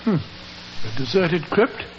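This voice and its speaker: Older British sidekick, high voice, blustery, loyal, muffled old radio recording. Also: male voice, big pitch swings, slow pace, background noise. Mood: curious. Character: blustery, loyal, older male detective's companion. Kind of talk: 1940s radio acting.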